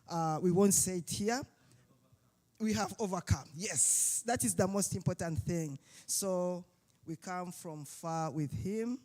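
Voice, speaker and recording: A speaker from Uganda, giving a halting panel talk with many fillers and restarts. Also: mid-range voice, a little mellow. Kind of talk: summit panel speech.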